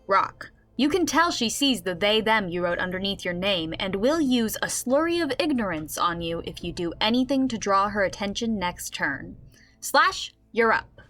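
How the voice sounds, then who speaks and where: A woman's voice: mid-range voice, animated, normal speed, fairly bright tone, close to an everyday voice. A woman playing a game master who narrates a comic fantasy tabletop game in a scripted radio drama. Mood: storytelling.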